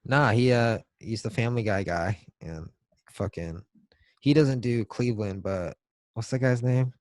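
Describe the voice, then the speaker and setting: A man's voice: medium pitch, measured pace, a little mellow, dry recording. A man co-hosting a podcast in casual, joking chat, slangy and swearing now and then. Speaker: podcast co-host.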